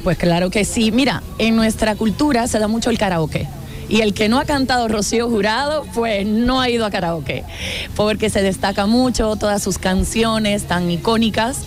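A woman's voice: mid-range voice, moderate pace, soft background music. A woman from the Republic, answering interview questions in an eager, emphatic way. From Dominican Republic. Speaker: visiting fan.

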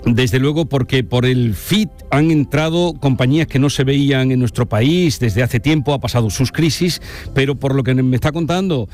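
Polite radio interviewer with music behind him. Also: male voice, medium pitch, moderate pace, a little mellow. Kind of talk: informal live interview.

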